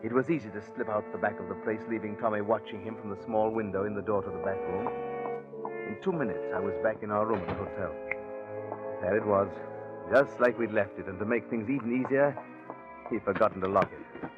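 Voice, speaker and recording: Man narrating old radio suspense, lowish voice, dull old recording with music. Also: fairly even intonation, a little fast. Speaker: narrator and lead actor. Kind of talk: 1940s radio drama narration.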